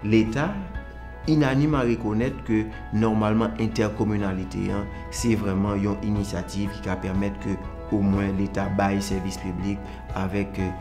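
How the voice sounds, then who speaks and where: A man's voice: fairly low pitch, flat delivery, moderate pace. A man with a Haitian accent giving interview remarks for a documentary. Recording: music bed behind voice.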